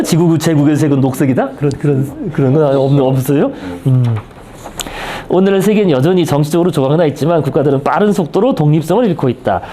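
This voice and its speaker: A man's voice: on the high side, mellow. A man leading a reading group, reading aloud from a book and discussing it, often checking in with his listeners. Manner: checks in with listeners using short tag questions.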